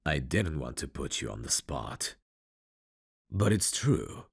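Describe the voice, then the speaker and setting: A man acting a courteous, tender mafia bridegroom in an intimate ASMR romance roleplay, soft-spoken and flirtatious with a husky, gravelly voice. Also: rumbling low, very animated, slow, dry recording, studio quality, exaggerated voice. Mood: tender, reserved.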